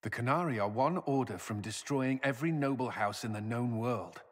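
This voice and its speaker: An actor playing a grave military commander with a weary edge. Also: male voice, mid-range voice, moderate pace, natural voice. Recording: game audio, long reverb.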